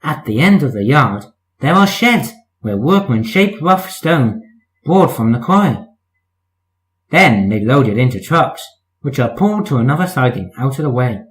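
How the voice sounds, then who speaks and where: A man's voice: medium pitch, very animated, a little slow, rough recording. A man narrating a scripted children's story as a storyteller.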